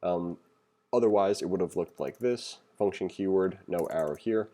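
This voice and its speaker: A man's voice: low-pitched, moderate pace, mellow. A man giving a casual, conversational programming tutorial as instructor, reading along and adding opinionated, self-deprecating asides.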